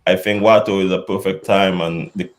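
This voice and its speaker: Dominican man, low voice, relaxed chef guest. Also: normal speed. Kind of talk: interview answers.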